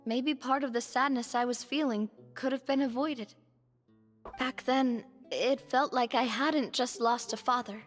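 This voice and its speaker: An actress playing a polite, earnest, gentle young lady in a fantasy video game, speaking sincerely. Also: a little high, close to an everyday voice. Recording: reverberant game audio over music.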